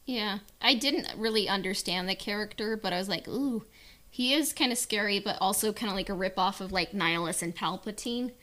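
A woman's voice: medium pitch, a little fast, bright, forceful tone. A woman in a casual podcast chat, hesitant and hedging, peppering her opinions with "like" and "you know". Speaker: podcast panelist discussing a book.

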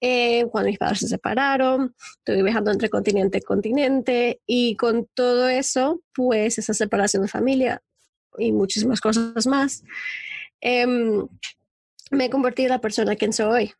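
A woman's voice: fairly high pitch, fairly even intonation, a little slow, creaky, darkish tone, husky, no room sound. A young woman from Venezuela, a guest speaking openly and affectionately about her life. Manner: affectionate, open, chatty with fillers. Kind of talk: candid interview talk.

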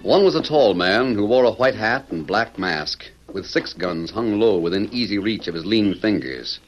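Dramatic, animated male radio announcer. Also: fairly low pitch, normal speed. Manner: grand, declamatory. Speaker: announcer and narrator. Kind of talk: dramatic old-time radio narration. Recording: dull vintage radio recording under music.

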